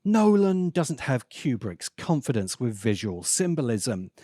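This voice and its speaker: Mellow male narrator with lively, swooping intonation. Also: medium pitch, unhurried, no echo, clean studio sound. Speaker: video essay host. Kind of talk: scripted narration, film commentary.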